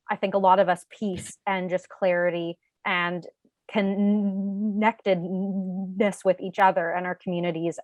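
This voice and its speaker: A woman's voice: on the low side, no room sound, lo-fi sound. A woman from a support group giving a fluent, earnest tribute on a video call. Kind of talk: heartfelt tribute.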